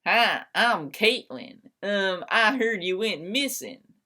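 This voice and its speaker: A woman's voice: mid-range voice, expressive, takes it slowly, pushed and bright. Woman putting on a gushing, eager teenage fangirl. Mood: flustered, eager. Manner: hesitant, stammering, eager. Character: gushing, scheming teenage fangirl who lies brightly. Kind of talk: amateur dramatic reading of fanfiction.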